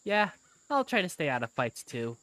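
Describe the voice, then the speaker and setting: A woman's voice: sits low, big pitch swings, normal speed, a bit bright, no room sound. Girl doing awkward young student hero from a visual novel, apologetic, hesitant, very up and down, close dry sound. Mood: sheepish, apologetic. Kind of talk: fan dub of visual novel game.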